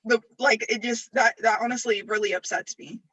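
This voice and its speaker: A young woman speaking informally in a group discussion, giving emphatic opinions in loose, halting phrases. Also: fairly high pitch, steady tone, fairly bright tone, husky, low-quality recording. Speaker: student group member.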